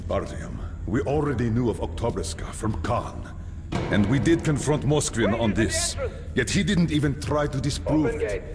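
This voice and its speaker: A lively-pitched commanding colonel. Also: male voice, normal speed. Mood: argumentative. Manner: commanding, curt, combative. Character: gruff, skeptical, commanding male military colonel. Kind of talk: dramatic video game acting. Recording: long reverb, music underneath. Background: music.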